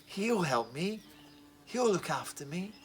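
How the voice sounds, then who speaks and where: A man's voice: sits high, very animated, slow pace, a little hiss or hum, voice pushed up, heavily acted. A man voicing a wounded traveler lying on the road, speaking his hopeful thoughts in a Bible story for children. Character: injured man on the roadside, hopeful and pleading.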